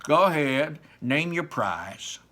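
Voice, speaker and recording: A man putting on a pompous, blustering rich dad who talks as if money settles everything. Bright, lively voice, with pitch swinging around. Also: slow, heavily acted. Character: pompous wealthy middle-aged father. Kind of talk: read-aloud storybook voice.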